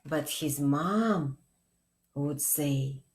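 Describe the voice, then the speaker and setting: Woman, forties, children's storytime reader. Very unhurried, deliberate, expressive, slightly low register. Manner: deliberate, expressive, frequent check-in questions. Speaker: children's librarian and storytime host. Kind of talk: read-aloud storytime addressed to young children. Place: small indoor room, plain wall.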